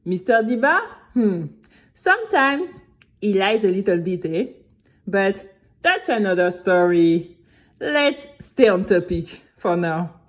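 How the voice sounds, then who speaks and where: A woman's voice: mid-range voice, takes it slowly. A woman playing an earnest, chatty young girl who narrates her own adventure expressively, talking straight to the listener. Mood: playful. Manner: expressive, conversational, addresses listener. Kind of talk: animated first-person story narration.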